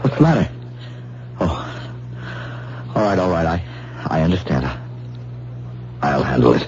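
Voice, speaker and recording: A wary man in over his head on a heist, in a vintage radio drama. His voice is very low, his talk terse and halting. The recording is dull, with a faint hum under it. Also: big pitch swings, vocal fry, darkish tone, heavily acted. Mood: alarmed. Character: uneasy, deep-voiced man drawn into a risky heist. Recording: muffled, humming old radio broadcast recording.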